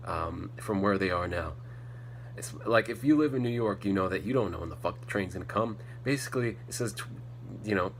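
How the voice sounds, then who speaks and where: A low-pitched American man hosts a solo podcast in a loose, rambling, blunt style peppered with swearing. He sounds a little stuffed up from a cold. The audio is poor, with a faint hum and noise underneath. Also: fairly bright tone. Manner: blunt, ranting, heavy casual swearing. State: slightly congested from a cold. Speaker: podcast host. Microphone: faulty setup, not the intended microphone.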